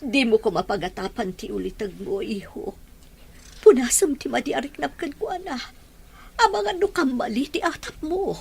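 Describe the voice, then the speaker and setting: A woman's voice: high-pitched, animated, moderate pace, vocal fry, long pauses, breathy edge, full character voice. A woman with an Ilocano accent playing a grieving, protective widowed mother in a radio drama. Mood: pleading, admonishing.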